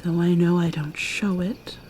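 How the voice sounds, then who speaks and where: A woman playing an ancient vampire mermaid in an ASMR roleplay, whispering softly and haltingly with a wistful manner. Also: on the low side, steady tone, slight background noise, close to an everyday voice, poor audio. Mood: earnest. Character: ancient female vampire mermaid, guarded and sorrowful.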